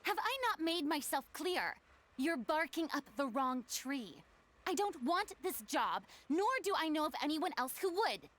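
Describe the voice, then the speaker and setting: A high-voiced, theatrical retired stage diva. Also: female voice, big pitch swings, a little slow, fairly bright tone, full character voice. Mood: exasperated, apologetic. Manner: theatrical, formal phrasing, self-deprecating. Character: proud retired stage star, weary, theatrical young woman. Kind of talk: video game voice acting.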